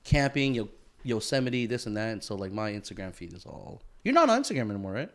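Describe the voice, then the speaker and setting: A man's voice: lowish voice, sing-song, fast, fairly bright tone. A man in his thirties co-hosting a podcast, chatting casually with lots of swearing and bro-talk. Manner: laid-back, swears a lot, says bro and dude. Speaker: podcast co-host. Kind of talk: casual chat.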